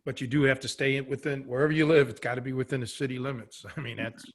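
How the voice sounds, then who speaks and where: A man's voice: mid-range voice, level tone, fast talker. A middle-aged American man chatting casually on a podcast, rambling through anecdotes as he goes. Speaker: podcast host.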